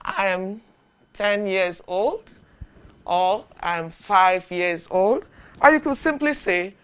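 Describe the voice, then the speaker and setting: A woman teaching a beginner English lesson, speaking deliberately and repeating short phrases for learners to echo. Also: medium pitch, animated, fairly bright tone, clean tone.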